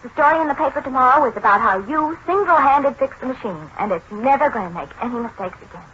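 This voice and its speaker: A woman playing a bossy, wisecracking young woman with a brisk, slangy manner in 1940s radio drama. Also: high voice, expressive. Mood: brisk, kindly. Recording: noisy, muffled 1940s radio recording.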